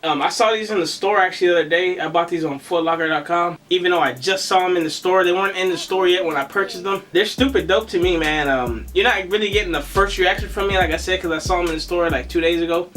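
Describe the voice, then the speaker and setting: A man, slightly raspy and under the weather with a cough, hosting a casual sneaker unboxing vlog in a slangy, laid-back way. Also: high voice, quick pace, hardly any pauses, fairly bright tone, faint music behind. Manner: slangy, laid-back, addresses viewers as y'all. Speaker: sneaker vlogger.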